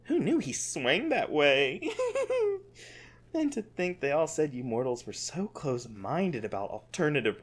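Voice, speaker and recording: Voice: medium pitch, husky, full character voice. An actor playing a gleeful sadistic monster captor in an ASMR audio drama, theatrical and taunting with cloying affection. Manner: theatrical, taunting, sing-song pet names.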